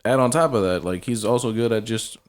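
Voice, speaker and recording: A man co-hosting a casual podcast, jumping in with quick teasing remarks. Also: on the low side. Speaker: podcast co-host. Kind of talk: casual chat.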